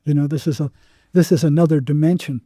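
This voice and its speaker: An older man speaks as a therapist being interviewed, recounting things conversationally. His voice sits a little high yet has a very mellow, muted tone. He halts and repeats words as he goes, and the recording is dry and close. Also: fairly even intonation, dry recording. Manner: halting, repeats words, acts out remembered exchanges. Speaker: psychotherapist guest.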